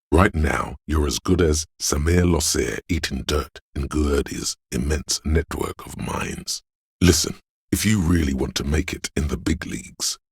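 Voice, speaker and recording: Very deep gravelly slick hustler. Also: male voice, no echo, studio quality, full character voice. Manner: cajoling, flamboyant, streetwise swagger. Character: slick money-obsessed male inner voice, hustler hype man. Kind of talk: theatrical video game character monologue.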